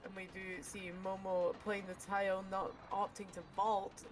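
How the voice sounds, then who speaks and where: A woman commentating a live esports match with quick, excitable play-by-play, her voice getting worn. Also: medium pitch, over music. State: losing her voice. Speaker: esports caster. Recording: noisy stream audio.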